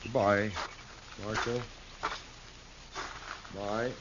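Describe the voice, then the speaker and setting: A man playing a simple, gentle rancher in a 1950s radio western, speaking in a halting, childlike, plain way. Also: fairly low pitch, level tone. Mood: subdued, grateful. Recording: hissy old 1950s radio recording.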